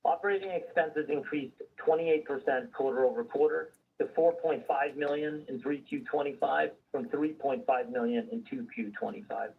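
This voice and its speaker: A man's voice: on the high side, moderate pace, creaky, few pauses, recorded from a distance, phone-quality sound, a little hiss or hum. A man formally reading a prepared financial results presentation on a conference call, as a company executive. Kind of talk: scripted earnings call presentation.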